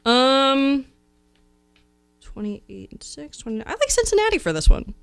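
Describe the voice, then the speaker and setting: A woman's voice: high voice, sing-song, unhurried, creaky, over music. A woman chatting casually on sports radio, playful and hesitant, with many fillers. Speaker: guest filling out a bracket. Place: radio studio.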